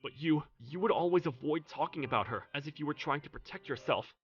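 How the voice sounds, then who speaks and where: A man's voice: fairly high pitch, animated, brisk, dull audio, lightly acted. An actor playing a devoted, sincere middle-aged single father in a manga dub, narrating and speaking in character. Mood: earnest. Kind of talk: scripted anime-style dub narration and dialogue. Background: music.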